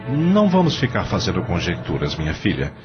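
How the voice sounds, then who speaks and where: Worried family father in an audio drama, voiced by an actor; low, expressive voice, muffled with clear music. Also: fairly quick. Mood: firm. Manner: worried, earnest. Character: anxious husband and father. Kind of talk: radio drama acting.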